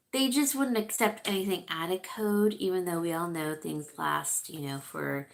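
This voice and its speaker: A middle-aged woman hosts a relaxed livestream, her voice a little stuffed up from congestion. She rambles in an unhurried, drawn-out way and reads viewer comments aloud as she goes. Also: mid-range voice. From California, USA. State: congested, sniffling. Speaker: livestream host chatting with viewers. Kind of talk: casual livestream chat.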